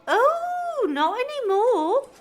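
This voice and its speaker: A woman's voice: very high voice, sing-song, extremely slow, slight background noise, full character voice. A woman playing a fussy, kindly village healer in a comic audio drama. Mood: gossipy.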